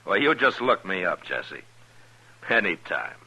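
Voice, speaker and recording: An actor playing a stern, steady American lawman in a 1950s radio western, terse and calm with authority. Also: low-pitched, a little slow, slightly rough, full character voice. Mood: calm, kindly. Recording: faintly noisy vintage radio drama recording.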